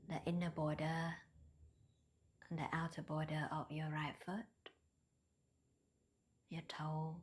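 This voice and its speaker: A woman leading a guided meditation in a soft, breathy near-whisper, with gentle, hesitant non-native phrasing. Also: low voice, completely flat delivery, slow, pauses often. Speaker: meditation teacher.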